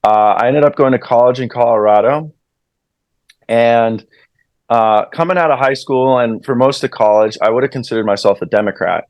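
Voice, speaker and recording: A man's voice: mid-range voice, moderate pace, no echo, lo-fi sound. A young American man, a recent college graduate, introducing himself casually with many fillers as an interview guest. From United States. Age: young adult. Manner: casual, filler-heavy, hesitant. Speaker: guest, club president.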